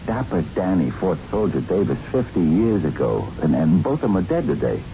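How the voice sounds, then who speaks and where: An actor in a radio drama playing an elderly, gentle, wistful man of the boxing world, speaking in a halting, hesitant way. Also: deep voice, very animated. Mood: worried, soothing. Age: older. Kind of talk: 1970s radio drama acting and narration. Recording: muffled, noisy old radio broadcast recording.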